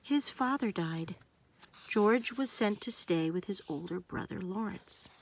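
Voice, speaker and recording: A woman narrating a children's storybook, reading aloud in simple, deliberate phrases meant for young listeners. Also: medium pitch, lively intonation.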